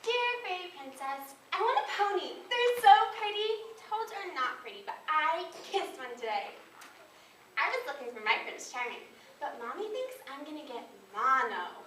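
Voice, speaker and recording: Woman as a very squeaky, dramatic little girl. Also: very animated, a bit bright. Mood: dreamy, eager. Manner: childish, drawn-out emphasis. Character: dreamy, dramatic little girl who loves princesses. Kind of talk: stage duo interpretation at a speech showcase. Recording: echoey room, distant camera, faint noise.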